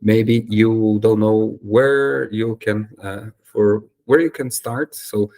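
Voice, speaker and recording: A young man from Brazil talks straight to his viewers in English, his Brazilian Portuguese accent clear in every phrase. His voice sits fairly low and has a gravelly edge. He pauses, fills gaps and corrects himself as he goes. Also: darkish tone. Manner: hesitant, many fillers and self-corrections. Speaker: YouTuber and English learner. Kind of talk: casual solo talk to camera.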